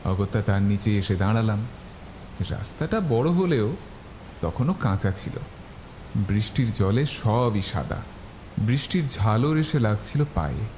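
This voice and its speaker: A man's voice: fairly low pitch, big pitch swings, measured pace, long pauses, darkish tone, slight background noise, low-quality recording. A man narrating a scripted first-person horror story. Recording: faint music behind the voice.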